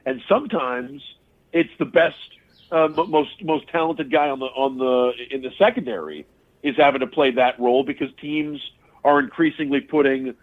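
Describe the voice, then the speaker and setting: A male radio sports broadcaster, a guest on a sports talk show, who breaks down teams and players analytically and restarts and repeats words often. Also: medium pitch. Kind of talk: casual sports talk interview. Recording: tinny phone line.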